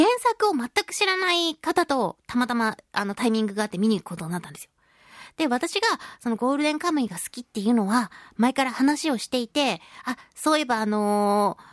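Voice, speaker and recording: A woman hosting a casual radio talk show, with a fairly high voice that swings widely in pitch. She speaks expressively and emphatically, chatting straight to her listeners in a close, dry recording. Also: no echo. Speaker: radio show host and voice actress.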